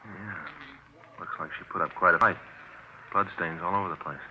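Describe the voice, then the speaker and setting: An actor playing a practical, plain-spoken police detective in a 1950s radio drama, brief and casual in manner. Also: male voice, deep voice, fairly even intonation, normal speed, slight background noise, natural voice. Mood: matter-of-fact. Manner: brief, casual, matter-of-fact. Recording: thin, narrow old radio recording.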